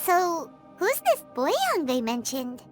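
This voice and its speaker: A woman's voice: very high voice, huge pitch swings, takes it slowly, soft background music, exaggerated voice. A woman voicing a tiny, childlike fairy companion in a video game, curious and easily scared. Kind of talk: English game dub cutscene acting.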